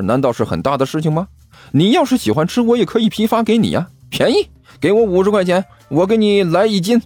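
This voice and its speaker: Male narrator as cheeky male hustler, stubborn, sarcastic, argumentative, animated pitch, close dry audiobook recording. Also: no room sound. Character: brazen, stubborn, quick-witted male street hustler.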